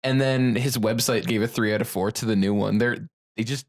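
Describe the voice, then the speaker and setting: A man's voice: fairly low pitch, fairly even intonation, fairly quick. Blunt guy on a podcast. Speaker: podcast co-host. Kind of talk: casual movie discussion.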